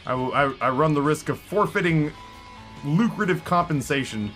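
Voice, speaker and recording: A man in his thirties streaming and commenting on a game in a rambling, self-mocking way, his voice hoarse after hours of talking. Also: a little high, lively intonation, moderate pace, a bit bright, faint music behind. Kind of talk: live gameplay commentary. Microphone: headset with headphones.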